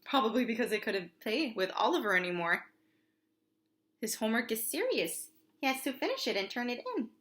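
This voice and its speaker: A woman with a fairly high voice reads a picture story to young children. She sounds like a preschool teacher, delivering the tale expressively and adding simple comments for the little ones. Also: big pitch swings, brisk, fairly bright tone.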